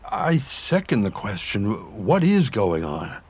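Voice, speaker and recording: A male actor playing a bewildered, questioning man in a motion comic, with a husky voice and an incredulous, halting delivery. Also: lowish voice, sing-song, darkish tone, heavily acted. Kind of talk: scripted cartoon dialogue.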